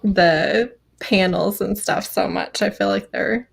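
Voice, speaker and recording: A woman's voice: mid-range voice, slow, vocal fry, a little hiss or hum. A woman chatting casually on a live group video call, slipping into acted-out quotes as she talks. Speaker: booktuber on a live readalong panel. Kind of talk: casual chat. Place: live group video stream, webcam or phone.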